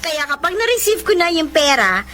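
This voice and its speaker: A woman's voice: high-pitched, big pitch swings, moderate pace, a bit bright, a little hiss or hum. A Filipino actress playing a devoted, forgiving and earnest young mother in a radio drama. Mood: happy, excited. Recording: music bed behind the voice.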